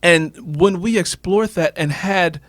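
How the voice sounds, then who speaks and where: A man's voice: high voice, takes it slowly, no echo, clean studio sound. A man telling reflective personal stories as a radio guest, emphatic and repeating phrases for effect. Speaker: interview guest, author.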